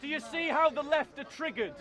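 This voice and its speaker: A man's voice: extremely high pitch, brisk, a crowd in the background. A man speaking outdoors in a city park, declaiming his views to onlookers and talking over hecklers. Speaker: street speaker. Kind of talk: outdoor debate speech.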